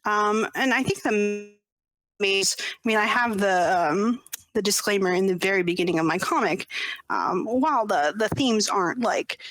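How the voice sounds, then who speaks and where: A woman in her thirties at a mid-range pitch, chatting as an interview guest. She hesitates, restarts sentences and laughs often. The sound is dry and close on a remote call mic. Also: normal speed, a bit bright, no room sound, low-quality recording. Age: 30s. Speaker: interview guest, webcomic creator. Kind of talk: casual interview chat.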